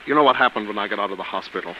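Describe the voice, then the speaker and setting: An actor playing a haunted, agitated man in 1940s radio drama, with a halting, brooding delivery. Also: fairly low pitch, lightly acted. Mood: bitter, tormented. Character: haunted, agitated man tormented by near-death experience. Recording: muffled, noisy vintage radio recording.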